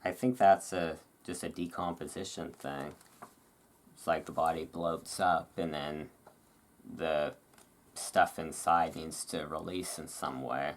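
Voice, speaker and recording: A host doing a relaxed ASMR-style reading aloud, breaking off into informal, musing asides. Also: very deep voice, slow. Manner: informal, chatty, musing asides between read passages.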